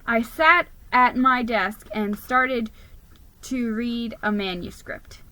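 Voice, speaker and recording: A woman's voice: on the high side, fairly even intonation, slow, heavy background noise. A woman giving a dramatic scripted reading of a children's story as its narrator. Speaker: storybook reader.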